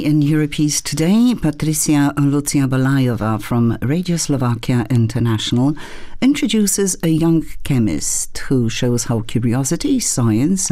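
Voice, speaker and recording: Mellow-voiced radio presenter. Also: medium pitch. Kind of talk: scripted news links.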